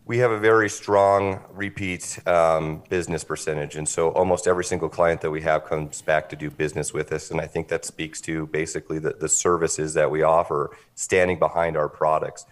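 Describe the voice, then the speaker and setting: A man giving an articulate, businesslike pitch as an interview guest, explaining things in a measured, polished way. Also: fairly even intonation. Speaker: interview guest, company representative.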